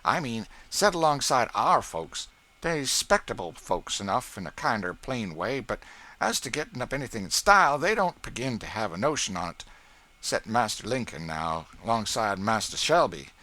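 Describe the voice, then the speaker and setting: A man's voice: on the low side, big pitch swings, moderate pace, bright, forceful tone, voice pushed up. A man voicing a bossy, proud older woman cook who scolds and boasts in thick folksy dialect. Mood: scornful boastful. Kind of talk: audiobook character voice.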